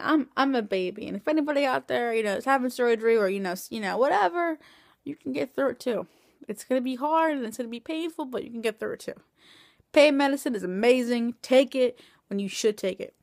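A woman of twenty talking into a phone camera at home at night, tired and in pain after surgery, rambling with many halting restarts. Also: a little high, no echo, studio quality. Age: 20s. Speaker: vlogger. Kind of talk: casual video diary. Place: small room indoors at night. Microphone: phone camera held close.

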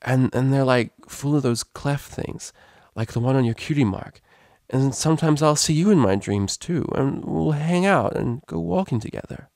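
A man's voice: mid-range voice, normal speed, creaky, a little mellow, no echo, studio quality, voice pushed up, lightly acted. A man voicing a drunk, affectionate female unicorn DJ pony in a storybook reading, rambling and hesitant in informal speech. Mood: earnest, gushing.

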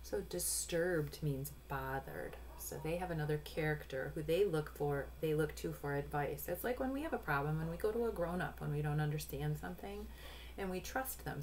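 Female storybook reader, forties, low voice, instructive asides, noisy home webcam sound. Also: darkish tone. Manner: instructive, addressing young children, pausing to point things out. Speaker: picture book read-aloud reader. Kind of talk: storybook read-aloud with explanatory asides. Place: small room at home.

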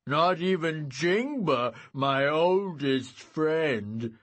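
A man voicing a gentle, simple-minded giant who is glum and apologetic, speaking in laboured, broken grammar in an audiobook story. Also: on the high side, expressive, pitched above the performer's own voice, full character voice. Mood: glum, earnest. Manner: drawn-out, laboured delivery with quaint broken grammar.

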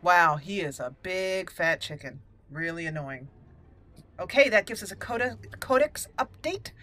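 Bright-voiced chatty woman gamer. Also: on the low side, animated, a little slow. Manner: chatty, reactive, thinks aloud. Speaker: gaming channel host. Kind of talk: casual let's play commentary.

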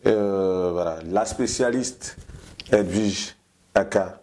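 Male host, low voice. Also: slow pace, a little mellow. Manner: friendly, encouraging questions. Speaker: interview host. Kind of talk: talk show interviewing. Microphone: lapel.

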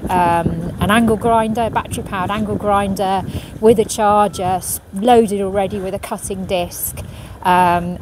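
A middle-aged woman, a professional sailor, answering viewer questions in a quiet, thoughtful way with many ums, filmed outdoors on a marina pontoon. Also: lowish voice. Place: outdoors on a marina pontoon beside a racing yacht. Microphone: camera at medium distance, phone in hand. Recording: outdoor recording with clear background noise. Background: marina ambience and passing vehicles.

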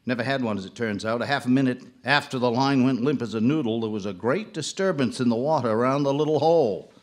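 A man's voice: mid-range voice, fast, natural voice. An actor playing a wry, folksy small-town ice fisherman narrating a ghost tale in a live radio drama. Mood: wry, storytelling.

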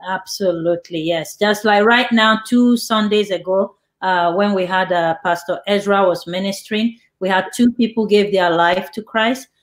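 A woman's voice: medium pitch, moderate pace, few pauses. A woman hosting an online church interview over a video call, reading out viewer questions and affirming answers. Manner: reads out viewer questions, warm laughs, affirming. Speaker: host.

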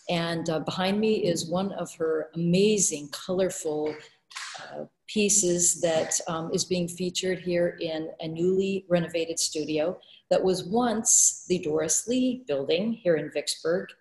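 Emcee, woman in middle age, slightly low voice, introducing performers over video call. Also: a little mellow, low-quality recording. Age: middle-aged. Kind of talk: event introduction. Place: renovated art studio, on video call.

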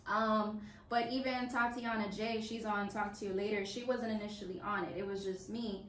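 A woman's voice: medium pitch, moderate pace, distant mic. Roomy young woman telling her story. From Washington, D.C. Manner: animated storyteller, many "you know"s. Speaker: guest musician. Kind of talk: casual interview chat. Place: small office meeting room, indoors. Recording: roomy sound from off-mic, faint hum.

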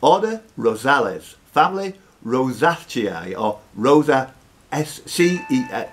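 A man's voice: mid-range voice, big pitch swings, a little slow. A man in his fifties hosting a live pub quiz, reading out questions with jokey, teasing banter. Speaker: quiz master. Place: social club bar room.

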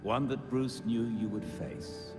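Man playing elderly loyal butler, dignified, reassuring, slow, video game, long hall reverb. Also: medium pitch, loud music behind the voice, close to an everyday voice. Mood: warm, solemn. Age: older. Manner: measured, formal, reassuring. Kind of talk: video game cutscene acting. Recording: long reverb, loud musical score.

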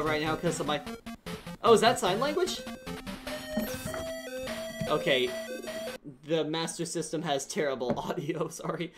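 A young man streaming a game as a VTuber, chatting casually with his chat and laughing often. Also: on the high side. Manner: chatty, giggly, talks to chat. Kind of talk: casual gameplay livestream chat.

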